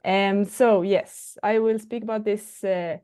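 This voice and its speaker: A woman's voice: medium pitch, fairly even intonation, takes it slowly, mellow, clean tone. A woman with a Swedish accent, a non-native English speaker, giving an online presentation with many fillers and restarts. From Sweden. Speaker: guest speaker.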